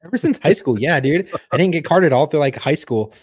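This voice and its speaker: A man's voice: fairly high pitch, expressive, brisk, a little mellow, husky, no echo. Joking, blunt man on a dry mic. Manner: blunt, joking, heavy swearing. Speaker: podcast co-host. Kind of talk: casual podcast chat.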